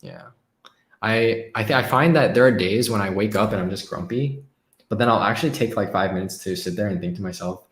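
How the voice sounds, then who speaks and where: Low-voiced man hosting a casual stream. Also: reverberant. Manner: upbeat, laughs often, reads quotes then reacts. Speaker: live stream host. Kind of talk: casual live stream chat, reading aloud.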